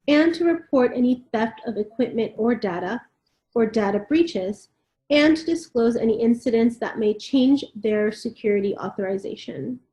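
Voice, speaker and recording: Woman, slightly high and very mellow, reading formal text aloud in a roomy echo. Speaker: reader and discussion moderator. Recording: roomy, echoing sound.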